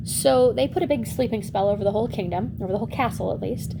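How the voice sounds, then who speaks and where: A woman's voice: medium pitch, fast talker, vocal fry, noisy background. A woman telling a fairy tale in a casual, comedic retelling, with wry, chatty asides. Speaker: storyteller.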